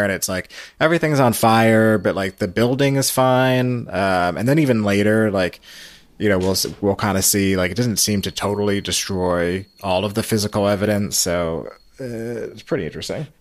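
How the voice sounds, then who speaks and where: A man with a low voice hosts a recap podcast in casual, rambling talk. He feels his way through sentences, and the sound is dry and close. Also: dry recording. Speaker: podcast host.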